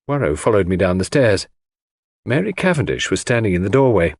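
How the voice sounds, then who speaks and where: Husky, expressive male audiobook narrator. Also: fairly low pitch, brisk, no echo, studio quality. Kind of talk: scripted first-person mystery narration.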